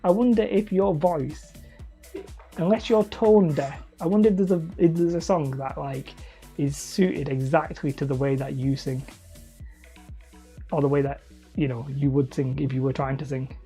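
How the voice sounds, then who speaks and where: A man rambles casually through a livestream, joking at his own expense between thoughts as he works. His voice is soft and mellow with a husky edge. Faint music plays behind him. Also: fairly even intonation, normal speed. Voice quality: calls his own voice high and whiny. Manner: rambling, self-deprecating, reads chat aloud. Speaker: hobby terrain-making streamer. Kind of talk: casual livestream chat while crafting.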